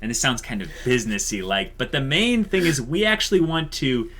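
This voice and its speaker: Male host, average pitch, casual unscripted talk, enthusiastic, emphatic, informal. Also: expressive, brisk, fairly bright tone. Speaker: host of an online channel. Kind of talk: casual unscripted channel update.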